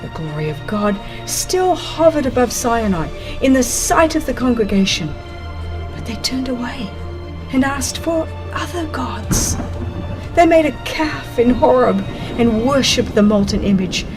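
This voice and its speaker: Slow, solemn woman narrator over music. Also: a little high, animated. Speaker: audiobook narrator. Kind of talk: dramatized scripted religious narration.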